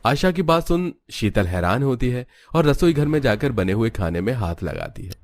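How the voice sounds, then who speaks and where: A man's voice: mid-range voice, big pitch swings, normal speed, no echo. A man reading scripted storybook narration for a cartoon tale.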